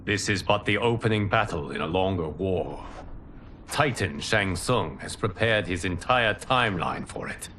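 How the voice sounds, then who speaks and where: Low-voiced, solemn male guardian. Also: lively intonation, bright, forceful tone, a little hiss or hum. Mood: grave, resolute. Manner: formal, measured. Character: earnest male guardian leader of a fantasy realm. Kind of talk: dramatic video game acting.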